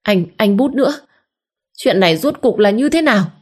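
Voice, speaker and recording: A woman's voice: mid-range voice, lively intonation, normal speed, slightly rough, pitched above the performer's own voice. A woman voicing a pregnant young wife who wails theatrically and complains shrilly. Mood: hysterical.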